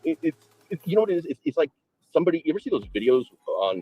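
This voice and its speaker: Fast, animated husky man on a dull line. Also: a little high, no room sound. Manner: animated, fast, exaggerated retelling. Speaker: private investigator guest. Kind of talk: interview guest.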